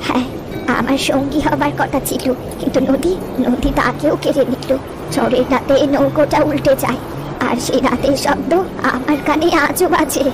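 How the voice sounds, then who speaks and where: A woman playing a wistful, gentle old village grandmother who tells river lore with poetic, reflective pauses in a cartoon story. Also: high-pitched, steady tone, music in the background, lightly acted, rough recording. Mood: sorrowful, wistful. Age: older.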